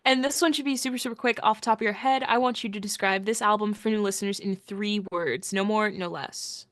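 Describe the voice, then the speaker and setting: A woman's voice: mid-range voice, a bit bright. A woman co-hosting a podcast, asking interview questions in a casual, upbeat way. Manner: upbeat, quick affirmations. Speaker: podcast co-host.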